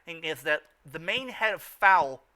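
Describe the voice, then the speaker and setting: A man's voice: fairly high pitch, expressive, unhurried, bright, forceful tone. A man hosting a casual fan show, stammering and often repeating his phrases as he comments. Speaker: host of a fan riffing show.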